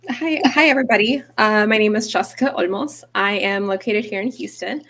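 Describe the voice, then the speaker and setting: A woman speaks on a video call with a strongly gravelly, creaky voice. She sounds brisk and matter-of-fact. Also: medium pitch, a bit bright. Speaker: UX designer and hackathon mentor. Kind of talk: self-introduction on a video call.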